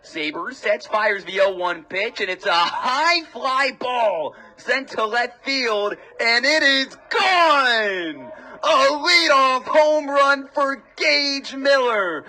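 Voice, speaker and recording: A man's voice: very high voice, lively intonation, slow, sharp tone, poor audio. A young man doing live radio baseball play-by-play commentary, describing the action in short clipped phrases. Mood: excited. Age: young adult.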